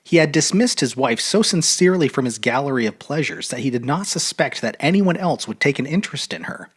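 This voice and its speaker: A man narrating a literary short story aloud in an expressive, measured, dramatic audiobook style. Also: medium pitch, slightly rough. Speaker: storyteller reading fiction aloud.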